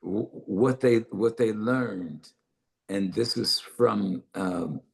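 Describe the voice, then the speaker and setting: An older man from Los Angeles, a guest author and publisher, answering interview questions with many pauses and fillers. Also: on the low side, vocal fry. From Los Angeles, United States. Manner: halting, many "uh" fillers and restarts. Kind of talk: conversational interview answers, then reading aloud.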